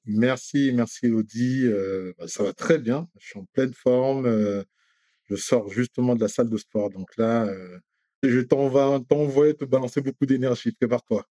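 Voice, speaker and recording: A man in his thirties from Rwanda, talking as an interview guest, hesitant with many pauses and restarts. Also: mid-range voice, warm tone, dry recording. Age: 30s. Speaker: guest, company director. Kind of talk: casual interview chat.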